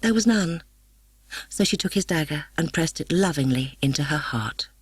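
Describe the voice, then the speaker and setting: A woman reading a story as an audiobook narrator, expressive and solemn. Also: low-pitched, normal speed, husky, dry recording. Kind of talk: dramatic scripted story narration. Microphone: close studio microphone, dry.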